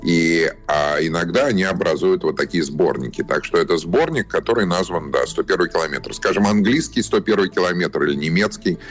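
Male interview guest, extremely low voice, halting unscripted answers, searching for words, faint music. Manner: halting, searching for words, frequent hedging phrases.

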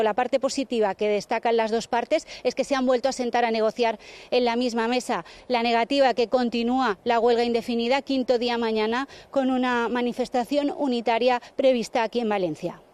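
A woman reporting live as a news correspondent, giving a steady, informative update. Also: on the high side, a little fast, smooth.

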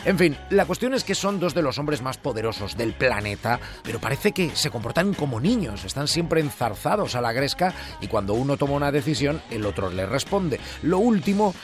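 A man's voice: mid-range voice, very animated, moderate pace. A man with an accent from Spain hosting a radio show, informal and conversational, talking straight to listeners. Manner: informal, addresses listeners directly. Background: music.

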